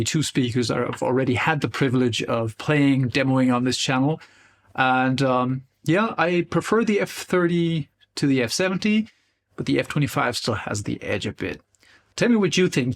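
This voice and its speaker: Guy with a stuffy nasal voice, coming down with a cold, chatting casually about gear. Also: mid-range voice. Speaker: guitar speaker demo channel host. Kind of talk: casual talk to camera.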